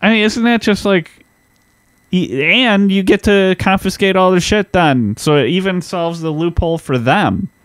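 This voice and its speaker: A man's voice: sits high, normal speed, no room sound. A man co-hosting a podcast in casual chat, chatty and swearing casually. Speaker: podcast co-host.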